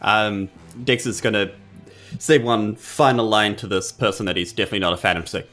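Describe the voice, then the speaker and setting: A man's voice: on the low side, fairly even intonation, fairly quick, a bit bright, faint music behind. A man with a New Zealand accent narrating his character's moves in a tabletop game, in casual, hesitant phrasing. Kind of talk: casual improvised roleplay narration.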